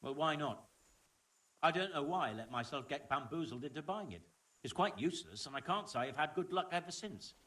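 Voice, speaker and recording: Wary, animated medieval tradesman. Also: on the high side, a little fast, recorded from a distance. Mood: grumbling. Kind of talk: video game dialogue acting.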